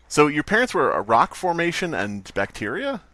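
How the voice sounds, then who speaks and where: A man's voice: on the high side, huge pitch swings, moderate pace, pushed and bright. A man co-hosting a podcast, chatting casually with playful, teasing riffs. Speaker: podcast co-host. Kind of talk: casual joking chat.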